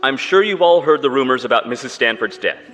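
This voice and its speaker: An actor playing a pompous, defensive middle-aged university president who brushes off questions in a radio drama. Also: male voice, medium pitch, expressive, a little fast, a bit bright, in a large hall, soft background music, close to an everyday voice. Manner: blustering, dismissive.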